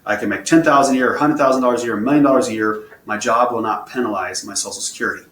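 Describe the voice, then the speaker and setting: A man's voice: mid-range voice, very fast, fairly bright tone. A man, a financial advisor, explaining retirement rules step by step in a plain, repetitive way while answering viewer questions. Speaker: financial advisor presenting a webinar. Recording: roomy echo, faint room noise.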